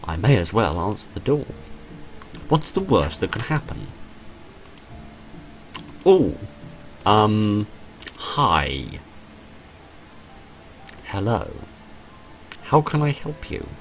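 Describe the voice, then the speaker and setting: Man acting quiet woman painter, polite, slightly hesitant, low register, lively intonation, visual novel game. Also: takes it slowly, pauses often, slight background noise, exaggerated voice. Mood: puzzled.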